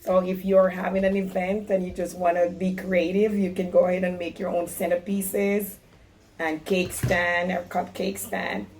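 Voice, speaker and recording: A woman from Jamaica, hoarse, chatting casually through a DIY craft tutorial and explaining each step. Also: fairly low pitch, flat delivery, moderate pace, darkish tone, a little hiss or hum. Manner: chatty and instructive, apologetic about her voice.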